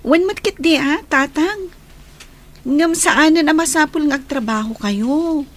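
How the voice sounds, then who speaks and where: A woman's voice: high-pitched, a little slow. A young woman with an Ilocano accent playing a caring but firm daughter in an audio drama. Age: young adult.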